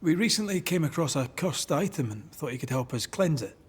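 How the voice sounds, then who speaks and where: An actor playing a wry, reluctant ghost hunter in a video game, with a husky, gravelly voice and dry, sardonic manner. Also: male voice, fairly high pitch, lively intonation, a little fast. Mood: wry, curious. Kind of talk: dramatic video game acting.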